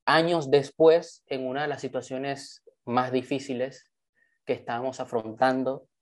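A man's voice: mid-range voice, little pitch movement, unhurried. A young man from Venezuela giving an online training talk over a video call, earnest and enthusiastic as he tells his story. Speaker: motivational trainer.

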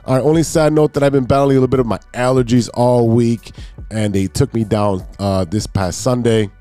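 A man's voice: mid-range voice, fairly even intonation, fairly quick, dark tone, smooth, faint music behind. A man hosting a casual sports podcast, sounding stuffed up and nasal from allergies, rambling and correcting himself as he gets hyped. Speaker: podcast host.

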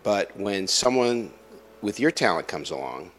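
A man hosts a conversational interview in a very low voice. He often stops and restarts his phrases, filling the gaps with ums and uhs. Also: sing-song, a bit bright, a little hiss or hum, low-quality recording. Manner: halting, many restarts and fillers. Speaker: interviewer host.

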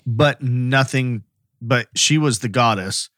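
A man's voice: mid-range voice, takes it slowly, a bit bright, no room sound, studio quality. A man co-hosting a casual talk show, informal and inquisitive, often asking questions to clarify. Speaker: podcast co-host.

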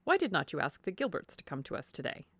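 A woman's voice: low-pitched, sing-song, quick pace, a bit bright, dry recording, studio quality, full character voice. A woman voicing a sociable, courteous country baronet in a classic novel, with a hearty manner. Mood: mildly vexed. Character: sociable, genial country baronet, mildly put out. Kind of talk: audiobook character voice, classic novel.

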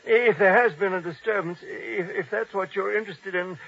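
An actor playing a frightened, obsequious old man in an old radio drama, stammering and pleading in a raspy voice. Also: sits high, moderate pace, exaggerated voice. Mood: nervous, hesitant. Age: older. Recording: dull old radio recording.

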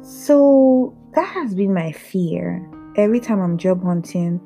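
A woman from Africa who stutters, hosting a candid personal podcast, talking in a halting way with frequent fillers and restarts. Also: lowish voice, animated, creaky, mellow, faint music behind. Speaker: podcast host.

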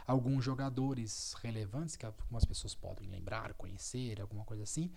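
A man, co-hosting a podcast and telling a story in a relaxed, rambling way. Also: on the low side, normal speed, vocal fry, a little hiss or hum. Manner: relaxed storyteller, trailing filler words. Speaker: podcast co-host. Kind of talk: casual podcast chat.

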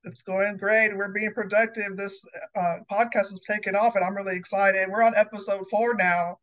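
A woman's voice: medium pitch, level tone, normal speed, fairly bright tone, muffled recording. A woman hosting a podcast, a teacher herself, asking friendly, rambling questions with many fillers. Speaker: podcast host. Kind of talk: casual podcast chat.

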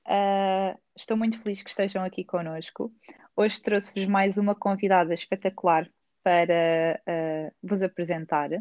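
A woman's voice: medium pitch, steady tone, normal speed, a little mellow, no echo, dull audio. A woman with a Lisbon Portuguese accent hosting a podcast, chatting casually with a guest and laughing often. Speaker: podcast host. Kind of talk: casual conversational interview. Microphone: remote recording.

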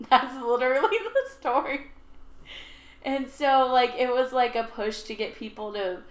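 A woman's voice: sits high, measured pace, roomy sound. A woman co-hosting a podcast, chatting casually and hedging her thoughts with "like" and "I just feel". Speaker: podcast co-host. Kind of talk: casual chat.

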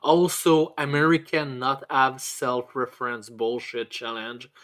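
A man's voice: medium pitch, level tone, a little slow, a bit bright. A man co-hosting a podcast, giving a blunt, sweary movie review in casual chat, often stammering and repeating words as he goes. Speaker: podcast co-host.

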